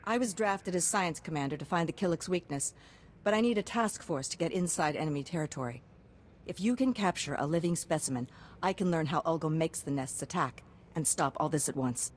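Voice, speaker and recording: A woman's voice: sits low, lively intonation, brisk, slight background noise. A woman playing a pragmatic, hard-nosed military science commander in a video game, clipped and businesslike. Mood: grim, briefing. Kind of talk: video game character acting.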